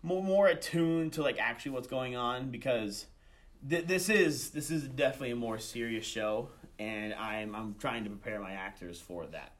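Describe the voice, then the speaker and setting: A man's voice: medium pitch, moderate pace. A young adult man talking casually as an interview guest, often restarting his phrases in a hesitant way. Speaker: student director and voice actor, interview guest.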